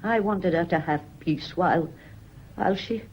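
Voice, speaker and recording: An actress playing a deferential, mournful old maidservant in a radio play, with a wistful, lingering way of speaking. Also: female voice, lowish voice, normal speed, breathy edge, low-quality recording. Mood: wistful, pointed. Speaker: radio drama actor.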